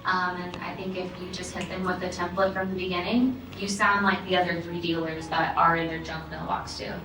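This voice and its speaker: A woman's voice: lowish voice, little pitch movement, fairly quick, background noise. An American woman giving practical explanations of her work practice in a group discussion. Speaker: car dealership employee, workshop participant. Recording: echoey room, distant mic, background music.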